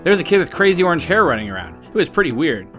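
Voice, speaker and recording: Teenage schoolboy, low register, animated, friendly, easygoing, casual, audiobook drama. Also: female voice, racing, faint music behind. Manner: casual, gentle, cheerful. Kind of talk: acted audiobook dialogue.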